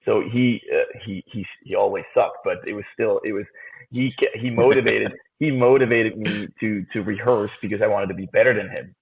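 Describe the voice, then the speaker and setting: Young man, Swiss accent with American touches, gravelly husky voice, casual and laughing, tinny phone-like audio. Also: on the low side, fairly even intonation, normal speed. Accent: Swiss with some American. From Switzerland. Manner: laughs often, restarts phrases. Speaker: guest drummer. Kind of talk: casual interview answers. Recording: tinny phone-like remote line.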